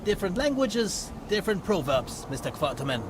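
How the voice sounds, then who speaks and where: An actor playing a proud German scientist in a video game, speaking formally and precisely with a German accent. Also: male voice, sits high, sing-song, a little fast, noisy background. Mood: grave, composed. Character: learned, proud German scientist, expedition leader. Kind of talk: video game cutscene acting. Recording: loud music behind the voice.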